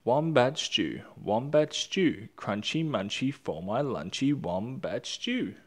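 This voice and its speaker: A man's voice: mid-range voice, very animated, takes it slowly. A man voicing a cocky, gleeful, clever dingo in a storybook, boastful and given to sing-song chanting.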